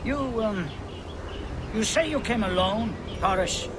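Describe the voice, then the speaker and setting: An actor playing a shifty, nervous, pious slave trader in a video game cutscene, hesitant and genteel. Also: male voice, sits high, expressive, measured pace, noisy background. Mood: wary, evasive. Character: shifty, nervous, pious slave-trading man selling a captive.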